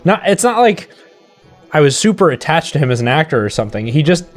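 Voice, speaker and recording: A man's voice: fairly high pitch, very animated, fast talker. A man co-hosting a live stream, chatting casually and offering his opinions in a conversational way. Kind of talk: casual chat about horror films. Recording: music playing behind the voice. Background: music.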